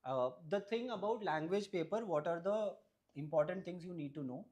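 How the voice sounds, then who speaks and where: A man's voice: high-pitched, lively intonation, moderate pace, a little mellow. Young man, native Malayalam speaker, advising. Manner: advisory, often ends points with a questioning "right?". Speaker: exam topper giving advice. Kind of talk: explanatory interview answers.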